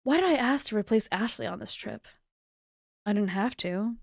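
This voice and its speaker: A woman's voice: on the high side, lively intonation, normal speed, creaky, no echo, clean studio sound. Woman acting an earnest, theatrical young arcade heroine. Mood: self-doubting. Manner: theatrical, declamatory.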